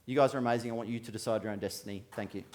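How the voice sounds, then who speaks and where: A man's voice: fairly low pitch, steady tone, very fast, darkish tone. A man speaking as a motivational keynote speaker, addressing an audience directly from a conference stage.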